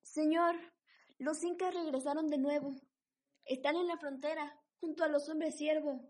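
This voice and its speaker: A woman's voice: high-pitched, fairly even intonation, normal speed, a little mellow, close to an everyday voice. A woman playing an earnest, dramatic loyal advisor to an Aztec emperor in a radio drama. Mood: hushed, worried.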